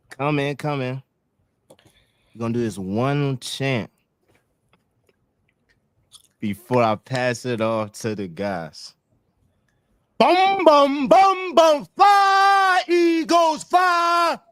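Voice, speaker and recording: A man with a very high voice hosts a casual sports show, hyped enough to break into a shouted chant. He talks slowly and stretches his words out. The sound is dry and close, with no room around it. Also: no echo. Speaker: podcast host.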